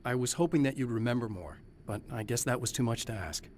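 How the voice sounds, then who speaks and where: An actor playing a weary married writer who answers in a dry, exasperated, deflecting way. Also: male voice, mid-range voice, fast, darkish tone, slight background noise, close to an everyday voice. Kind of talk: video game cutscene acting.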